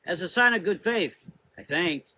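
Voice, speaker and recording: A young woman fighter, as a male narrator plays her, loyal, defiant and blunt, in a gothic audio story. The voice is fairly high and forceful, with informal, earnest phrasing. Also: expressive, moderate pace, full character voice, rough recording.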